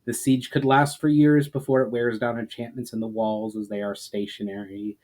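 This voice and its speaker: A man voicing a grave, watchful elf warrior-mage in a storybook reading, speaking formally, grimly and deliberately. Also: mid-range voice, little pitch movement, darkish tone, natural voice.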